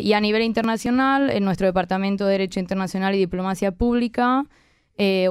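A woman in her thirties from Argentina, with an accent mixing Argentine and Spanish, explaining her work as a guest lawyer in measured, hesitant answers. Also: mid-range voice, clear, resonant tone, no room sound. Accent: mixed Argentine and Spanish. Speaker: interview guest, human rights lawyer.

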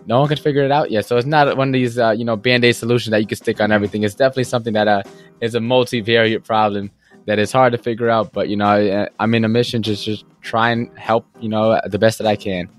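A young man in his twenties, a guest entrepreneur, telling his story in an interview in an earnest, fast-flowing way with many "you know"s and "right?"s. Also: on the low side, fairly even intonation, soft background music. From United States. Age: young adult.

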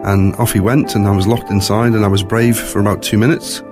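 Low-voiced man with a faint Scottish accent. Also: level tone, brisk, music in the background. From born in Scotland, moved to South Africa at three. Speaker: interview guest, author. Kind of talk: personal storytelling in an interview.

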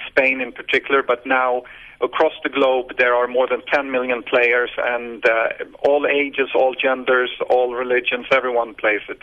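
A man's voice: medium pitch, lo-fi sound. A man from Sweden with a Swedish accent, answering radio interview questions in a hesitant way, full of fillers and restarts. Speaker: guest, sports businessman.

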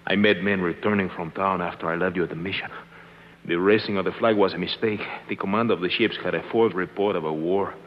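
An actor playing a loyal, anxious, injured sidekick in a 1950s radio drama. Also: male voice, low-pitched, steady tone, brisk, dull audio. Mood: anxious, hushed. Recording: echoey, noisy old radio recording.

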